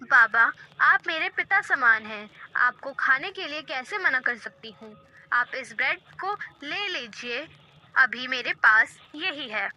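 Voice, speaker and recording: A woman's voice: high voice, expressive, slow pace, bright, forceful tone, dull audio, exaggerated voice. A woman playing a humble, devout poor mother in a moral story, meek, respectful and pleading. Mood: kind, gentle. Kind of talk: dramatized moral story dialogue.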